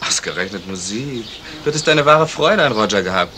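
An actor playing a lazy, teasing young fiancé in a 1970s radio crime drama, with a flippant, mocking way of talking. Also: male voice, lowish voice, animated, a little fast, lightly acted. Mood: mocking, amused. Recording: slightly noisy vintage radio recording.

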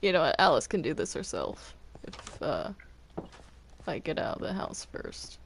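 A person chatting casually while roleplaying in a video game, with a halting delivery that often trails off. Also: medium pitch, wildly swooping pitch, creaky, a little hiss or hum. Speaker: Minecraft roleplay player.

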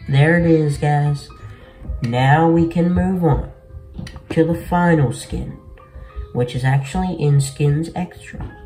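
A man's voice: fairly high pitch, slow, mellow, rough recording. A young man giving casual, slangy game commentary, talking to his viewers in a laid-back way from a bedroom. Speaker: gaming video host. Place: small bedroom, indoors. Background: music.

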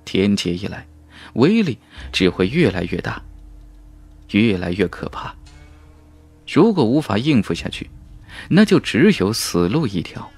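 Male narrator, lowish voice, very lively intonation, dramatic fantasy audiobook storytelling, music behind. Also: a little slow, pauses often, slightly rough. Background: background music.

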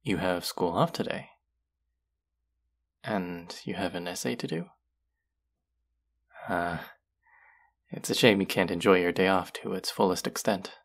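A man's voice: low voice, measured pace, gravelly, long pauses, full character voice. A man voicing a friendly, teasing young male barista in an audio roleplay, chatty and playful toward a regular customer.

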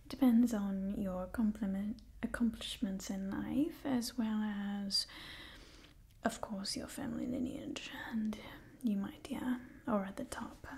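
A young woman playing an imperious, doting vampire clan leader in an ASMR roleplay, in a soft breathy whisper close to the microphone. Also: fairly high pitch. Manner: slow, deliberate, condescendingly affectionate. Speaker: ASMR performer. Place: small indoor set with wooden wall.

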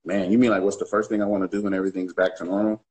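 A man in his thirties from the US West Coast, a music producer guest talking in a relaxed, slangy way over a webcam from his home studio. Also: low voice, racing. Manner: laid-back, slangy, relaxed. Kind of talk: casual livestream interview. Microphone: webcam on a video call.

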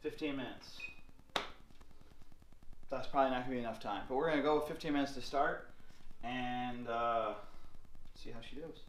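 A man's voice: mid-range voice, moderate pace, pauses often. A young man hosting a casual workshop how-to vlog, joking offhand and swearing casually as he works on a motor. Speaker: moped mechanic host. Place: large cluttered garage workshop, indoors. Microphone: camera mic from across the room. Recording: echoey workshop, camera far off, background noise.

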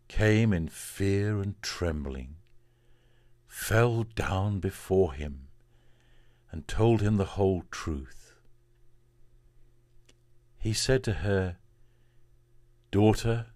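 A man, a priest, reading from the Bible in a solemn, measured and deliberate way. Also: low voice, a little mellow, no echo.

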